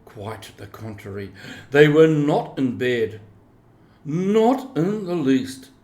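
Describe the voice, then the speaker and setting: Slow, very animated male storyteller, echoey room. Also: a little high. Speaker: storybook reader. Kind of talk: scripted children's story narration.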